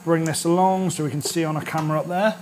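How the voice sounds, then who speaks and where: British guy in his 30s doing a chatty cooking demo, voice a bit high, noticeable room noise behind. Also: fairly even intonation, fast. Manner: chatty, instructional, often checks with "all right?". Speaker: chef presenting a cooking series. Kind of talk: informal cooking demonstration. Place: indoor kitchen studio set.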